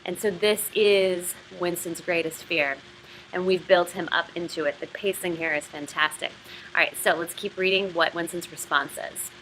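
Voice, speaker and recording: Woman explaining a novel like a teacher, chatty and keen, bright voice, faint noise behind. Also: fairly low pitch, steady tone, normal speed, poor audio. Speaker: writing and literature teacher, video host. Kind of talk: explanatory lesson with novel read-aloud.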